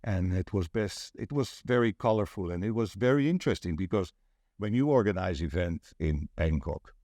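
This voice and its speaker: A mature man with a Dutch accent and a very low voice, recorded close and dry. He is an event organiser being interviewed, recounting things in a measured, deliberate way. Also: lively intonation, warm tone, dry recording. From Netherlands.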